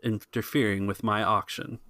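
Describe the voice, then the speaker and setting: A man voicing a haughty, menacing rich villain in a tabletop game, speaking slowly and pompously. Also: fairly low pitch, level tone, sharp tone, no room sound. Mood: imperious, menacing. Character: haughty male villain, rich auction owner stroking a cat.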